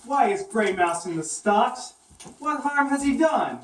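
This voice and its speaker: A woman's voice: on the high side, expressive, unhurried, pitched above the performer's own voice. A woman voicing a bold, kind-hearted male mouse in a puppet story, speaking earnestly and frankly. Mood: indignant. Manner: earnest, outspoken. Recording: echoey, distant mic, faint noise.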